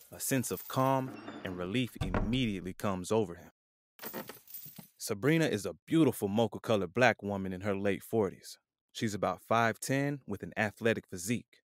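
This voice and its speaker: A man's voice: on the low side, a little hiss or hum. A man reading scripted narration for an audio drama, describing scenes and characters.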